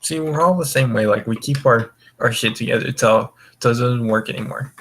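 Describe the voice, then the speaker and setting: Gravelly young man, chatting casually. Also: mid-range voice, a little fast, a bit bright. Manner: laid-back, frequent swearing. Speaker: host of a gaming voice call. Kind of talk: casual group chat, rambling. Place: online voice call.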